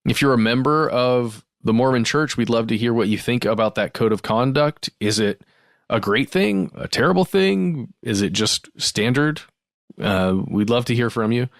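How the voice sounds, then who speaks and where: A man's voice: medium pitch, animated, moderate pace, no room sound. Man co-hosting a podcast, dry close sound. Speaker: podcast co-host. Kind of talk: casual podcast talk and reading listener mail.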